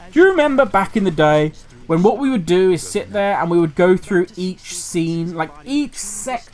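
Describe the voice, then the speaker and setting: A man hosting his gaming channel, chatting casually and reading a news article aloud with self-mocking asides. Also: sits high. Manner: animated, self-mocking, jumps between loud asides and quiet mutters.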